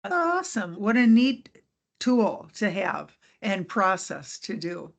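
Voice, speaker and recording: A woman hosting a casual podcast interview, upbeat and encouraging, with quick asides. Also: medium pitch, sing-song, creaky. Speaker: podcast host.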